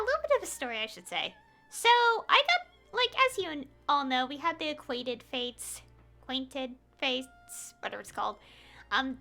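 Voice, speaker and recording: A woman's voice: high-pitched, bright, forceful tone. A woman game streamer giving casual, chatty, rambling live commentary as she plays. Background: game music.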